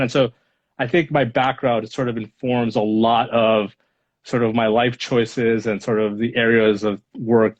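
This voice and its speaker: Man in his 30s, Spanish his first language, thoughtful and hedging guest, mid pitch, dull audio. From Los Angeles. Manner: reflective, hedging with many fillers. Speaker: guest speaker, lawyer. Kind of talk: casual interview answers.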